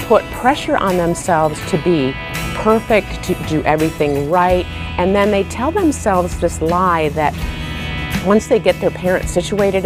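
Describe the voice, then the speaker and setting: A woman being interviewed, giving advice in an explanatory, conversational way. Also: fairly low pitch.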